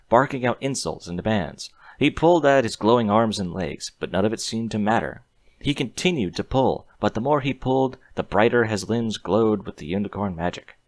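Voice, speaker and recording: A man's voice: on the low side, no room sound. A man narrating a scripted story expressively on a close mic.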